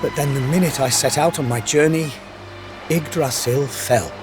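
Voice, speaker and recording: A man voicing a glum, worried male blacksmith who speaks slowly and warily, in a video game cutscene. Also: a little high, close to an everyday voice. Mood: sorrowful. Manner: glum, wary, slow storytelling. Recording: game audio with loud music behind.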